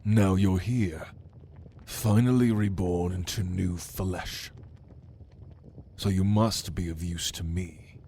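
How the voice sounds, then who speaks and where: A low, very slow demon voiced by a man in an ASMR roleplay, haughty and menacing. He addresses the listener with deliberate, dryly teasing intimidation, over clearly audible background ambience. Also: a little mellow, slight background noise. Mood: menacing, hungry. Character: menacing male demon, prince's right hand, haughty and hungry.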